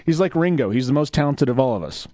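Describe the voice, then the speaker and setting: A man's voice: mid-range voice, animated, quick pace, darkish tone. A man co-hosting a poetry radio show with playful, self-mocking banter in a studio.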